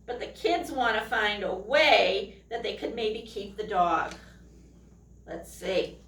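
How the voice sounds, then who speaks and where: A woman reading a children's picture book aloud expressively, pausing to put questions to young listeners. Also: mid-range voice, lively intonation, creaky, a bit bright. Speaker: storytime reader, teacher. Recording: echoey room, distant microphone.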